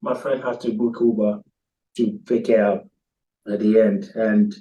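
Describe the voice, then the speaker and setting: A man from Ghana, a guest in a casual chat, telling stories in a hesitant, relaxed way with many pauses and ums. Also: on the low side, distant mic, poor audio.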